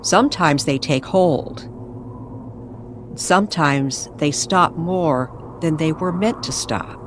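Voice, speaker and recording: Woman reading a spooky old time radio story, really slow n dramatic, big pauses, noisy background. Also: deep voice. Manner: dramatic, measured delivery with weighted pauses. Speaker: co-narrator of a serial story. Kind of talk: scripted eerie story narration, old-time radio style.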